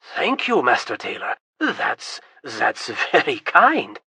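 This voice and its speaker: A reader voicing an excitable, vain young prince who stammers when nervous and gets shrill and loud when pleased. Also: slow, breathy edge, no echo, heavily acted. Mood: chatty.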